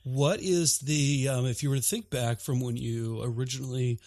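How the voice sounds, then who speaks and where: A man with a mid-pitched voice hosting a podcast, upbeat and measured as he asks questions. The recording is dry and close, with no room sound. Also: steady tone, darkish tone, no room sound. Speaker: podcast host. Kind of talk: friendly interview questions.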